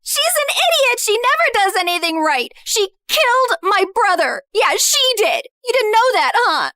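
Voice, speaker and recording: A woman acting as a scornful, bitter teenage girl in an audio drama. The voice is very high and sharp, recorded dry and close, and the delivery is accusing, sneering and emotional. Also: very animated, normal speed, no echo, exaggerated voice. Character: bitter, scornful teenage girl, a betrayed former best friend. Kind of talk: dramatized first-person story acting.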